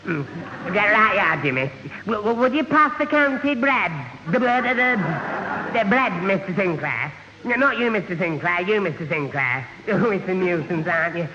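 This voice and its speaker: A man's voice: high-pitched, expressive, normal speed. A man playing a bumbling young man in a radio sitcom, stuttering and repeating himself in confusion. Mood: flustered, muddled. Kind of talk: 1970 radio sitcom acting. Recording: muffled old radio recording, hall reverb, hiss.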